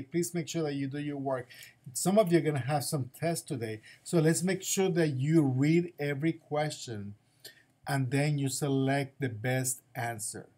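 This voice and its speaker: A man's voice: medium pitch, darkish tone. A man, a school principal, giving morning announcements in an upbeat, encouraging way and repeating his advice to students.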